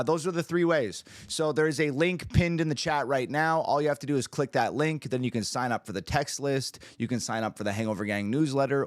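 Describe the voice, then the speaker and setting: A man hosting his own livestream, a musician chatting casually with viewers, upbeat and hyped, his voice a little raspy from screaming the night before. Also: mid-range voice, no room sound, studio quality. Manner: upbeat, hyped, talks to the chat.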